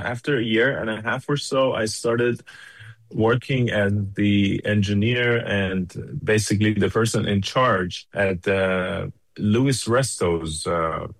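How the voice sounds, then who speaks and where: Low-voiced man from Iran telling stories. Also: no room sound. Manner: unhurried, pausing between phrases. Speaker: interview guest, studio engineer. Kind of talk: conversational storytelling.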